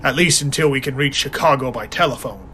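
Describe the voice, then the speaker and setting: A man's voice: medium pitch, fairly quick, slight background noise, close to an everyday voice. A man voicing a cool-headed male police inspector who solves a killing aboard a train. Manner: calm, matter-of-fact.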